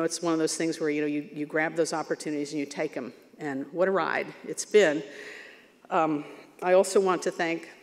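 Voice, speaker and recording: A woman in her sixties giving a humble award acceptance speech from a podium, halting with many fillers. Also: deep voice. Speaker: award recipient. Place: large banquet hall stage. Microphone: podium microphone. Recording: long hall reverb.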